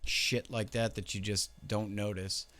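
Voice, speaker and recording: A man's voice: on the low side, level tone, measured pace, a bit bright. A man in his late thirties hosting a casual interview, laid-back, with lots of ums and casual swearing. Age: 30s. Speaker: host.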